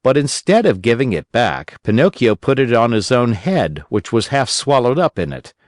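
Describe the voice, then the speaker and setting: A man reading a children's story as an audiobook narrator, in a gentle, expressive storytelling manner. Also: medium pitch, normal speed, no room sound, clean studio sound.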